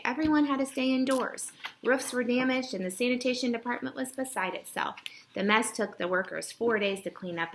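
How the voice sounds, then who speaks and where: A woman reading a children's storybook aloud to a young child. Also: a little high. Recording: noisy home recording with clicks.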